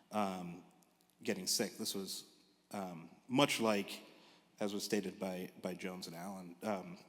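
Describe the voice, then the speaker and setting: A man speaking as a panelist in a discussion, halting and measured. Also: deep voice. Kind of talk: spoken remarks in a panel discussion. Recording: very reverberant hall sound.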